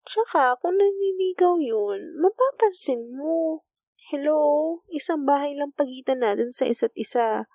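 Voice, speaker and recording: Woman playing a slow, gentle Filipino school nurse, teasing. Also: sits high, animated, no echo. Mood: playful, teasing. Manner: soft, intimate, teasing, coaxing. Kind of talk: romantic ASMR roleplay.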